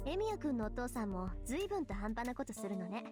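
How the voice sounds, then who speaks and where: A woman's voice: fairly high pitch, sing-song. A bossy teenage schoolgirl mage. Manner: brisk, matter-of-fact, bossy. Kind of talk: Japanese visual novel voice acting. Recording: game voice with loud music behind.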